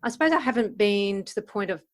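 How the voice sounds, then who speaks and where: Interviewee: female, about 50, mid-pitched voice, informal and candid, thinking back over her own experience. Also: lively intonation, quick pace. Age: around 50. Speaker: life coach and podcaster being interviewed. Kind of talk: reflective interview answers.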